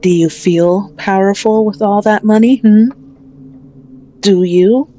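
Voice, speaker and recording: A woman's voice: medium pitch, steady tone, warm tone, highs cut off, slight background noise, lightly acted. A woman playing a menacing, dominant mafia boss in an ASMR roleplay, mocking and condescending toward a hostage as she speaks. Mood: taunting, gloating. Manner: slow, mocking, condescending, possessive.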